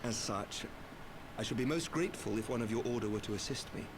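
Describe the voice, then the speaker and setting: An actor playing a formal, courteous and dutiful knight commander in a fantasy game, speaking in a measured way. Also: male voice, mid-range voice, close to an everyday voice. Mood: earnest, resolute. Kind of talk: fantasy game cutscene acting. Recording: game audio under loud ambient noise.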